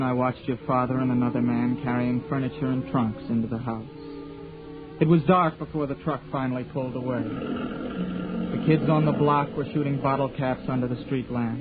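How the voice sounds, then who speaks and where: A man's voice: mid-range voice, narrow, tinny audio, over music, close to an everyday voice. An actor in his twenties playing a lonely young man confined to a chair, narrating in a wistful way in a 1940s radio drama. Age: 20s. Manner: wistful, halting with his mother. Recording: phone-thin 1940s radio broadcast.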